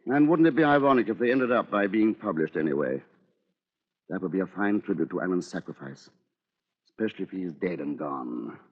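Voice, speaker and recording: An actor playing a cold, conniving attorney with a formal, pompous, calculating manner in an old-time radio drama. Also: male voice, low-pitched, big pitch swings, brisk, exaggerated voice. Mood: coldly menacing. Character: cold, conniving male attorney, cleverly manipulative. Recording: muffled old radio recording, music behind.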